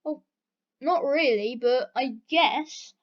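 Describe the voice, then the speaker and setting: A woman's voice: high voice, slow pace. A British teenage girl chatting casually through a question-and-answer vlog, rambling with plenty of fillers. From Britain. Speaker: channel host. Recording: dull lo-fi recording.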